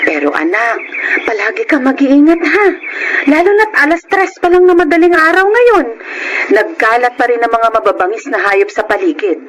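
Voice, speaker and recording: A woman's voice: sits high, sing-song, normal speed, noisy background. A woman playing a caring, protective rural mother in a radio drama, with a Filipino accent. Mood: tender, concerned.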